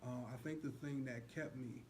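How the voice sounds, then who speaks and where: A man's voice: medium pitch, steady tone, moderate pace. A man speaking earnestly and casually as an actor guest in an interview. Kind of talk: casual chat. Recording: faintly noisy room recording.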